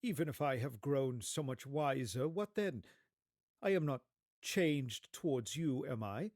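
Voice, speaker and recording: A man's voice: medium pitch, expressive, a little slow, darkish tone, no room sound, studio quality. A man playing an impatient, defensive, worldly man in his prime, answering in clipped retorts in a dramatized reading.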